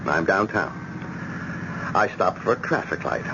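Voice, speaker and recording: Smug worldly american brother-in-law in old radio drama, lowish voice, teasing and needling, muffled noisy tape. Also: male voice, lively intonation, a little slow, vocal fry, heavily acted. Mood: insinuating. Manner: teasing, insinuating, theatrical emphasis.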